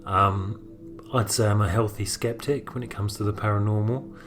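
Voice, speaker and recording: Man, fairly low voice, unscripted personal storytelling over soft background music. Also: steady tone, moderate pace. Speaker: horror writer, storyteller.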